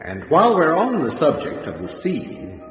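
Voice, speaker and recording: An actor playing a gleefully macabre undead horror host for a radio series, with theatrical, mock-genteel delivery. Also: male voice, a little high, huge pitch swings, moderate pace. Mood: ominous relish. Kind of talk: old-time radio horror hosting. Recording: dull sound, long echoing reverb. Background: music.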